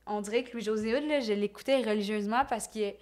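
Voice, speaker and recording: A young woman with a Québécois French accent chats on a podcast in a loose, quick way, full of fillers. She is a comedian guest and sounds relaxed among friends. Her pitch sits in a middle range. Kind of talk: casual podcast chat.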